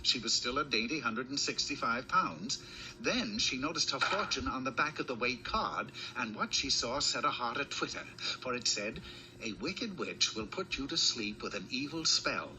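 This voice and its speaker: A man's voice: medium pitch, animated, moderate pace, sharp tone. A man playing a wry, mock-dramatic narrator of a cartoon fairy tale, scripted and theatrical. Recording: noisy old cartoon soundtrack.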